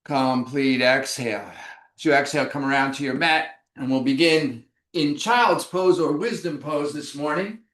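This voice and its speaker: A man leading a yoga class through breathing exercises, giving slow, calm, measured cues. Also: a little high, roomy sound. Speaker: yoga instructor. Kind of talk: guided breathing instruction.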